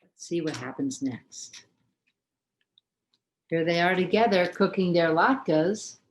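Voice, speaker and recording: Woman w low voice, slow gentle kids storytime host, a bit of noise behind. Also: animated. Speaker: host guiding a children's story time. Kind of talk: gentle commentary and prompts to young listeners.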